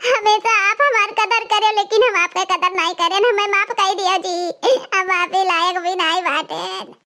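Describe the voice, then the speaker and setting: A woman voicing a pleading old village mother-in-law in a cartoon, with an Awadhi accent and a squeaky, pitched-up voice. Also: fairly even intonation, measured pace, pushed and bright, heavily acted. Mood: tearful remorse. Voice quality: squeaky, childlike. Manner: wailing, repetitive pleading. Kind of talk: village cartoon comedy acting.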